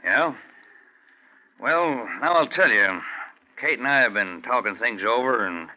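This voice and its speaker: An actor playing a shrewd, suspicious rural prospector, blunt and folksy, in a 1940s radio drama. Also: male voice, medium pitch, expressive, measured pace, close to an everyday voice. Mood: suspicious, probing. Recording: muffled 1940s radio broadcast.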